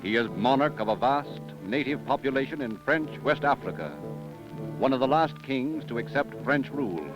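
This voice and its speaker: A man's voice: medium pitch, expressive, normal speed, over music. A man hosting and announcing in a formal, declamatory 1930s radio style. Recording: dull vintage radio recording.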